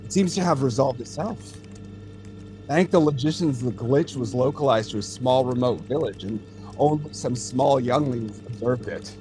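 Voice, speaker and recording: A man plays a seasoned alien technician in an audio drama. He is patient and thoughtful, explaining in a measured way at a middle pitch, with music faintly underneath. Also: mellow, lightly acted. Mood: matter-of-fact, explaining. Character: experienced alien data center technician, male, patient, thoughtful.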